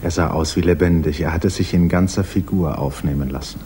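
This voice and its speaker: Deep, mellow man narrating an old radio drama. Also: fairly even intonation, a little fast. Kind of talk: literary narration in a 1950s German radio drama. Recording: hissy 1950s radio recording.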